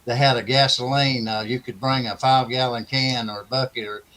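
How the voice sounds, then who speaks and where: A man's voice: mid-range voice, steady tone, brisk, a bit bright, rough recording. An earnest, plainspoken man recalling experiences casually in a livestream chat. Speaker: amateur radio operator, livestream guest.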